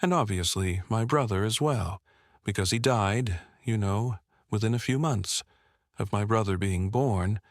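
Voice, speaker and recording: A man in his fifties from Vietnam speaks in a fairly low voice, recorded dry and close. French is his first language, and he explains his memories as an interviewee, often checking in with the listener. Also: measured pace, dry recording. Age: 50s. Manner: explanatory, often checks with "right?" and "you know". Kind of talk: oral history interview, personal recollection.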